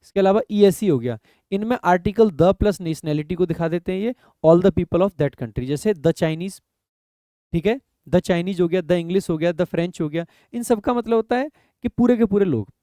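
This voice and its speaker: A young adult man teaching an English grammar lesson in Hindi for exam preparation. He explains step by step, poses students' questions and answers them himself, and reads rules out slowly. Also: high-pitched, dark tone, no room sound, studio quality.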